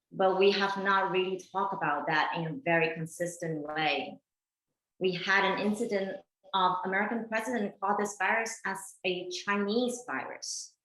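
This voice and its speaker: A woman's voice: on the low side, fairly bright tone. A woman playing an earnest, reflective woman in a conversational documentary theater monologue, recorded in a roomy space.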